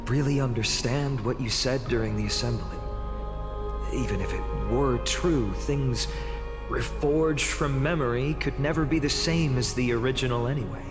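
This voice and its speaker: An actor playing an earnest, solemn young hero in a video game, speaking in a grave, measured manner. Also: male voice, mid-range voice, close to an everyday voice. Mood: doubtful, grave. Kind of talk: dramatic video game voice acting. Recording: long reverb, music behind. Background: music.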